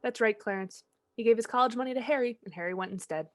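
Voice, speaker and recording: Woman as a brisk, knowing storyteller. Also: a little high, close to an everyday voice. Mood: matter-of-fact. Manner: matter-of-fact, explanatory. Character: calm, knowing storytelling heavenly guide. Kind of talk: old-time radio play narration.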